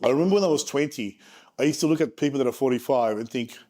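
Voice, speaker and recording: A man's voice: mid-range voice, fast talker, warm tone. A man in his forties with a frank manner and joking asides, casually presenting charts as a property investment presenter. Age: 40s.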